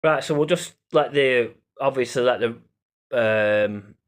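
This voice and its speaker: A man's voice: mid-range voice, takes it slowly. A man giving an informal explainer as an amateur paranormal investigator, rambling and hesitant, repeating himself and swearing casually.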